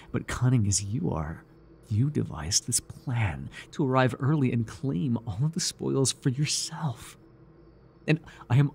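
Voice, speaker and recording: A man playing a devoted, obsessive, fawning cult follower in a theatrical, halting ASMR roleplay. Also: fairly low pitch, darkish tone, slight background noise. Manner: theatrical, breathy sighs, nervous chuckles, halting. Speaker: voice actor.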